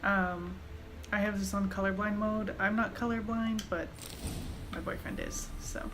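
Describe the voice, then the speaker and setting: A woman's voice: mid-range voice, steady tone, a little slow, a bit bright, a little hiss or hum. A woman giving casual commentary as she plays through a video game. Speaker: gamer host. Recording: game music behind commentary. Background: game music.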